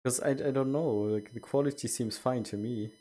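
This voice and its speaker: A man's voice: medium pitch, moderate pace, warm tone. A young man streaming a game, chatting casually and rambling with many restarts. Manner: rambling, self-correcting. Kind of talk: casual chat while gaming.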